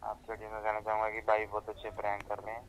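A man's voice: on the low side, completely flat delivery, quick pace, dry recording. Man on a speakerphone call. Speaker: prank call recipient, fellow vlogger. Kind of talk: casual phone chat. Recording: phone call played on speaker.

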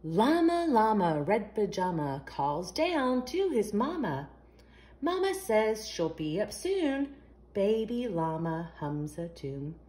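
Female storytime host for young kids, lively swooping delivery at an unhurried pace, sing-song read-aloud. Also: medium pitch. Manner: sing-song, asks the young listeners questions. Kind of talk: expressive read-aloud of a picture book for young children.

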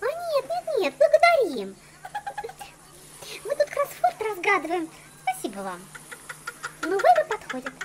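A woman's voice: extremely high pitch, huge pitch swings, measured pace, pauses often, slight background noise, exaggerated voice. A woman playing a mischievous young doll making prank calls in a children's cartoon, with a breezy, gushing delivery. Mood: cheeky.

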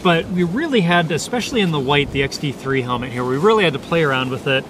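A man's voice: fairly high pitch, lively intonation, fast talker. A man in his thirties giving a casual, unscripted motorcycle gear review in a meandering, hesitant way, outdoors on a camera mic. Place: outdoors in dry grass by parked motorcycles. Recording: noisy outdoor camera recording.

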